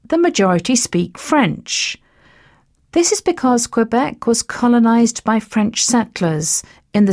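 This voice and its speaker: Woman narrator for a lesson, slow-paced and measured. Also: mid-range voice, a little mellow. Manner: measured, list-like reading of facts. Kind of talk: scripted educational narration.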